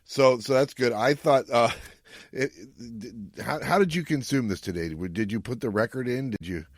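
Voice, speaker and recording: A man's voice: medium pitch, moderate pace, dry recording, clean studio sound. A man hosting a podcast in casual chat, with a jokey, conversational manner. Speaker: podcast host.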